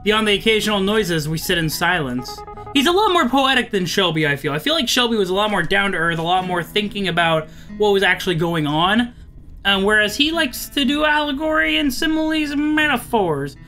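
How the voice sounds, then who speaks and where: A man with a high-pitched voice hosts a visual novel playthrough over faint music. He reads the narration aloud and breaks off for chatty, amused asides in a bright tone. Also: lively intonation, a little fast. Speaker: let's play host.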